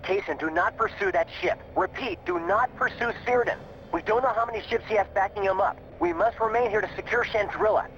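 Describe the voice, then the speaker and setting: A man playing an earnest, steady young rebel squadron leader in a video game cutscene, giving urgent military orders. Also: sits high, brisk, a little hiss or hum. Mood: urgent command. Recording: muffled lo-fi game audio, music behind.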